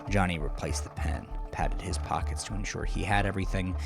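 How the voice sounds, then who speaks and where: A man narrating scripted horror fiction in a slow, dramatic manner, often dropping into breathy near-whispers. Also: low voice. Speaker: audiodrama narrator. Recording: music under the voice. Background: music.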